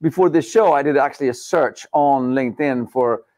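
A man's voice: mid-range voice, normal speed, darkish tone, dry recording. A middle-aged man hosting a live studio interview show, upbeat, chatty and joking with his guest. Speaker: podcast host. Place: studio set with dark backdrop.

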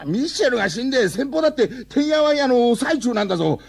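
A man playing an excitable, defensive, hapless middle-aged father in a Japanese radio drama. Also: extremely high pitch, fast talker, creaky, full character voice. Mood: flustered, defensive.

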